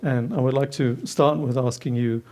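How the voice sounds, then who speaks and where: A man in his forties with a Bavarian German accent, moderating a panel on a conference hall stage, pausing often with ums. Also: medium pitch, fairly even intonation, mellow. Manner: hesitant, many ums, long introductions.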